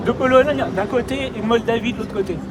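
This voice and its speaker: A man on a city street calmly answering questions from protesters. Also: very high-pitched, a little fast. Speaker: passer-by in debate. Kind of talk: street discussion. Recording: loud crowd and street noise.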